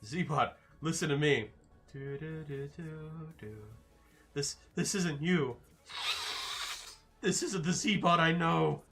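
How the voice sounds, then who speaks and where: A man's voice: fairly high pitch, slow, gravelly, long pauses, fairly bright tone. A man streaming live, casually reading and answering his chat with loose, joking replies.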